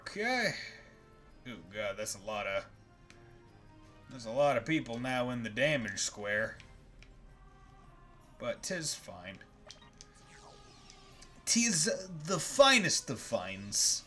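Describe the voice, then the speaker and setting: A man's voice: mid-range voice, big pitch swings, slow pace, pauses often, bright, forceful tone. A man streaming a video game with casual, playful commentary, profane and full of jokey asides. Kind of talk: casual live gameplay commentary. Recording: faint game music behind.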